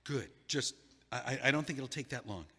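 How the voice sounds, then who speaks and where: An actor playing a heartbroken young man who was just left, talking in a halting, stammering, dazed way in an audio drama. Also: mid-range voice, expressive, pushed and bright, slightly rough, big-room sound.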